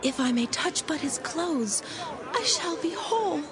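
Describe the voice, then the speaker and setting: A woman's voice: sits high, animated, measured pace. An actress playing a suffering, timid woman full of desperate hope, in dramatized scripture. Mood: hopeful. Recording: music and crowd noise behind. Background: music, crowd.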